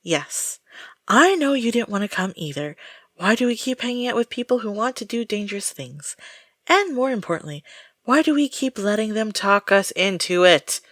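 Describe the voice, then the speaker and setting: A woman playing an exasperated, jumpy friend caught up in a haunted-house outing, sarcastic and expressive, in an audio roleplay. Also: medium pitch, moderate pace, no echo, clean studio sound.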